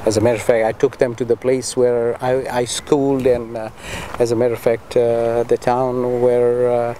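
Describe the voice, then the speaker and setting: A middle-aged man from Tanzania giving reflective interview answers in a halting, deliberate, earnest way. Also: mid-range voice, monotone, warm tone, a little hiss or hum. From Tanzania, East Africa. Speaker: interviewee, pediatrician and medical association president.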